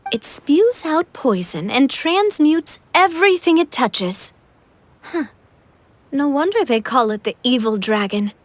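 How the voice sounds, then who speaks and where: A woman's voice: sits high, big pitch swings, a little slow, no room sound. A woman playing an earnest, helpful young heroine in a video game, thoughtful and cooperative. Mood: pensive. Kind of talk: video game character acting.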